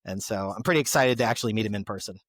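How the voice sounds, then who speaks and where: A man's voice: fairly low pitch, very fast, a bit bright. A man co-hosting a podcast, chatting casually and with enthusiasm. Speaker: podcast co-host. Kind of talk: casual chat.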